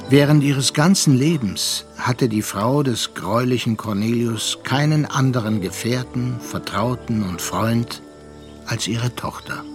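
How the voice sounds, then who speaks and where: Reflective narrator, measured, melancholy, medium pitch, literary radio play, music underneath. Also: male voice. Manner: measured, contemplative.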